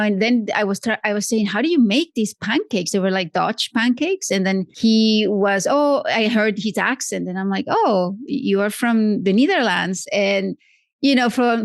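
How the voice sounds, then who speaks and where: A woman's voice: medium pitch, moderate pace, no room sound, clean studio sound. A woman guest on a podcast, chatting casually with many restarts and self-corrections. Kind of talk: casual interview chat.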